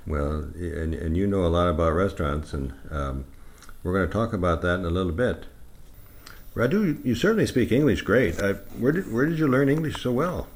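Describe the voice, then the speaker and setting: Deep-voiced male interviewer. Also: fairly quick. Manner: relaxed, friendly, joking with guest. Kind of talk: casual radio-style interview.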